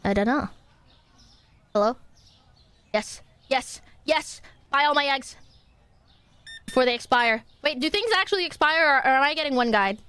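A young woman chatting casually through a live game stream with a fairly high voice. She grumbles and jokes at her viewers, playful and quick to get exasperated. Her talk stays loose and unscripted. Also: lively intonation, bright, forceful tone, slight background noise. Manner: playful, easily exasperated, talks to chat.

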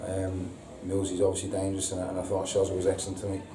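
A man, a football manager giving a halting post-match interview full of repeated words and ums. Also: sits low, completely flat delivery, a crowd in the background. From England. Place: indoor press room before a sponsor board. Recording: echoey room, distant mic, audible hiss.

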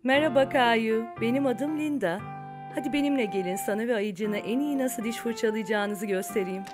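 A woman's voice: a little high, moderate pace, over music, lightly acted. A woman voicing a friendly, reassuring female dental worker in a cartoon, encouraging and kindly explaining. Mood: welcoming.

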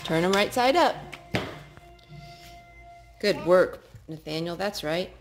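A woman's voice: lowish voice, expressive, slow, faint music behind. A woman chatting casually with small children and narrating a craft in an encouraging, sing-song way, recorded on a handheld phone at home. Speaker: parent hosting a children's craft video. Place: indoors at home, wood-floored living room. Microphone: handheld phone filming.

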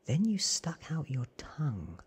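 A man voicing an earnest, awed young man from a storybook in a hushed, breathy ASMR whisper. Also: on the high side, wildly swooping pitch, voice pushed up, heavily acted. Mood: awed, eerie. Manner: hushed, drawn-out, earnest telling.

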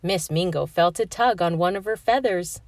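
A woman reading a children's picture book aloud, with expressive phrasing aimed at young children. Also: lowish voice, moderate pace, no echo. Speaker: read-aloud storyteller.

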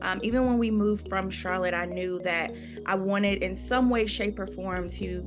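Woman author from North Carolina, mid pitch, earnest, filler-heavy, video-call sound with music behind. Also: normal speed. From North Carolina, USA. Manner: earnest, with many fillers like "um" and "you know". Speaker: children's book author, guest. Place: room with a window and plants.

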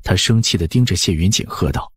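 A man with a husky voice reading a dramatized scripted story as an audiobook narrator. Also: sits low, lively intonation, a little fast, no room sound, studio quality.

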